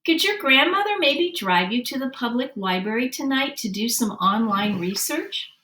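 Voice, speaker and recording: A woman voicing a good-humored female elementary schoolteacher in a storybook, brisk, firm but helpful. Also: fairly high pitch, expressive, pushed and bright. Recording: roomy, distant microphone.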